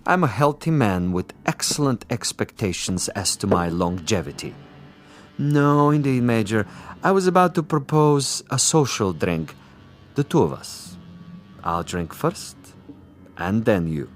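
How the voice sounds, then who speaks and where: An actor playing a suave, boastful assassin commissar in a radio drama, with pompous, precise and silkily polite diction. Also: male voice, lowish voice, very animated, a little slow, soft background music. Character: suave, boastful male assassin commissar, coolly menacing connoisseur.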